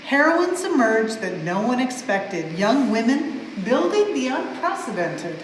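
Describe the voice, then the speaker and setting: A woman reads a storybook aloud for children with expressive, measured emphasis on the rhymes. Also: a little high. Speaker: museum staff member reading a story aloud. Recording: very echoey large hall, some noise.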